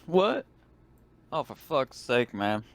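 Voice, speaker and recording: A man's voice: mid-range voice, sing-song, takes it slowly, no echo. Man playing a grumpy spaceship crewman. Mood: griping. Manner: griping, sweary, casual. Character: grumpy, foul-mouthed, beer-loving male spaceship crewman.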